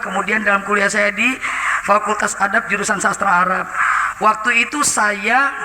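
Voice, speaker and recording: A woman from Indonesia giving a conversational church testimony through a face mask, full of hesitations and often turning to address the congregation. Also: mid-range voice. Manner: chatty, many hesitations, often addresses the audience. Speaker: lay preacher giving testimony. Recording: echoey hall with background noise.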